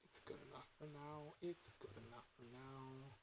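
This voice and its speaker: A woman's voice: extremely low pitch, fairly even intonation, normal speed, background noise. A woman livestreaming games, muttering casually to herself as she works. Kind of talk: casual muttering while gaming on stream.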